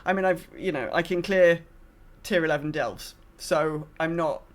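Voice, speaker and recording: A woman's voice: low-pitched, normal speed. A woman co-hosting a gaming podcast in casual chat, thinking aloud in a hesitant, hedging way. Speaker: podcast co-host.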